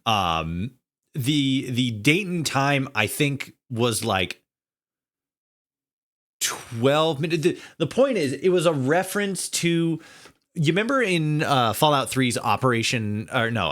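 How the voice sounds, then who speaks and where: A man in a casual podcast conversation, speaking haltingly as he searches for words and repeats himself. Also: mid-range voice, lively intonation, a bit bright. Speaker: podcast guest, audio drama writer. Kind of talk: casual chat.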